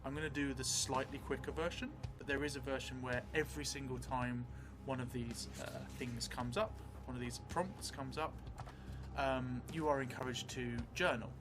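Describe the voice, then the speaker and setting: A man's voice: medium pitch, steady tone, normal speed. A middle-aged man casually explaining a tabletop game on a livestream, in a chatty, hesitant way. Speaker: host and solo player of a role-playing game. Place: small dark corner room in a theatre. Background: prominent background music.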